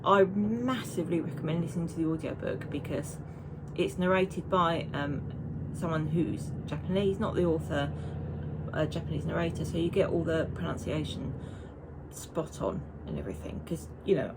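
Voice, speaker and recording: A woman's voice: sits low, moderate pace, noisy background. A woman, chatting casually and rambling to camera as a knitting vlogger. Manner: chatty and rambling, with frequent restarts.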